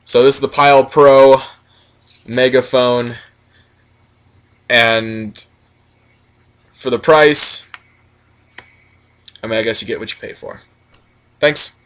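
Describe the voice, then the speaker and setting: A man's voice: mid-range voice, steady tone, takes it slowly, long pauses, fairly bright tone. A man giving a casual product critique, explaining things in a rambling, matter-of-fact way. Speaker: product reviewer.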